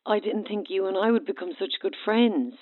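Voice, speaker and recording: An actress in a horror audio drama playing a worried, insistent friend, speaking firmly and urgently through a telephone filter. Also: female voice, mid-range voice, moderate pace. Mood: guarded, suspicious. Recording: thin, band-limited phone line.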